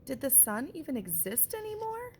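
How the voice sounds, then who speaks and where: A woman, a teacher, reading a picture book aloud and pausing to point out what the pictures show. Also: high voice, sing-song, a little hiss or hum. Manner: gentle, slow storybook delivery with pauses.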